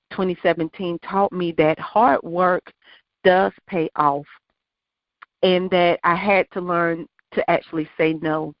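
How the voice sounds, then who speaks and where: A woman's voice: fairly low pitch, no room sound. A woman who is a guest author on a faith talk radio show, speaking in a reflective, affirming way. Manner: affirming, reflective, frequent "yes". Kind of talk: casual faith talk interview. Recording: dry, dull lo-fi line.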